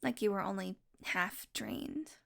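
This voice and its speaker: A woman's voice: mid-range voice, unhurried, gravelly, a bit bright. A woman voicing a teasing, possessive vampire who dotes on her prey, speaking intimately and smugly to the listener in an audio roleplay. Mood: playful, taunting. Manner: smug, flirtatious teasing with mock annoyance.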